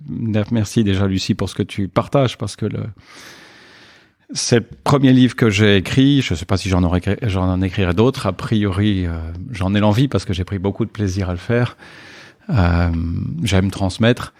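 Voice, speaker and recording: Hesitant, low-voiced man in his fifties. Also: normal speed, darkish tone, dry recording, studio quality. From Paris, France. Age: 50s. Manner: hesitant, many fillers and restarts. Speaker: guest. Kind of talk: reflective podcast interview.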